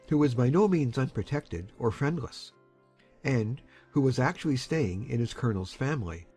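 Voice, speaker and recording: A man playing a reasonable, hopeful middle-aged uncle in a dramatized period novel, speaking in a measured, deliberate way. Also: medium pitch, darkish tone, husky.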